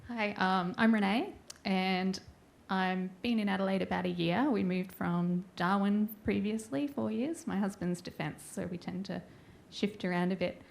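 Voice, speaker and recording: A middle-aged woman introducing herself as a mature student in a relaxed, conversational way. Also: medium pitch, normal speed, vocal fry, hardly any pauses. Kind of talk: self-introduction.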